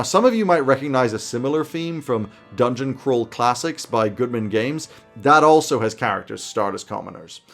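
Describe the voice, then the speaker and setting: A man hosts a roleplaying video from a small home office. His mid-pitched delivery is emphatic and enthusiastic. Music plays behind his scripted commentary. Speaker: tabletop roleplaying video host. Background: background music.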